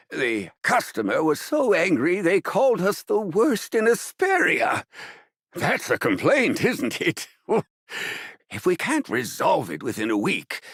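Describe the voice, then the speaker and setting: Gravelly, animated brash agency boss. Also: male voice, a little high, no echo, studio quality, heavily acted. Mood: teasing, mock stern. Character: brash, teasing funeral agency boss, a world-weary man. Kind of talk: animated game dubbing.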